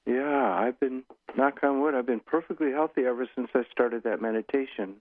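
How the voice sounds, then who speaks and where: A man's voice: medium pitch, no room sound. Older man on a tinny phone line. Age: 60s. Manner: slow, soothing guiding voice. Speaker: guest author and meditation teacher. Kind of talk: guided meditation and interview talk. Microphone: phone call.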